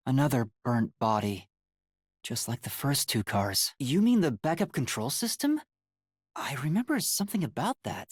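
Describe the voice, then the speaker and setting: An earnest young male detective from a video game. He sounds boyish and easily flustered, with hesitant phrasing and pitch that moves around a great deal. The sound is dry and clean, with no room around it. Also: slightly rough, dry recording. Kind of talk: English video game voice acting.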